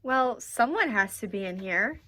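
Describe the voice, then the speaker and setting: A woman's voice: medium pitch, lively intonation, a little slow, fairly bright tone. A woman playing a take-charge, wisecracking studio worker in a radio play. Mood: brisk, wry.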